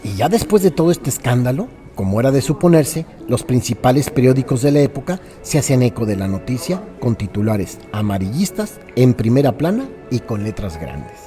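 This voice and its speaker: A man's voice: mid-range voice, big pitch swings, brisk, few pauses, dark tone. A man narrates a scripted true-crime radio serial with emphatic, theatrical storytelling phrasing. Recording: music bed under the voice. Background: music.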